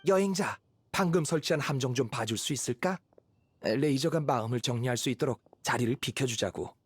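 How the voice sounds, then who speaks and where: An actor playing a kindly, fatherly middle-aged hunter in an anime game, speaking in a measured, reassuring way. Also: medium pitch, husky, no echo, lightly acted. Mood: concerned, reflective.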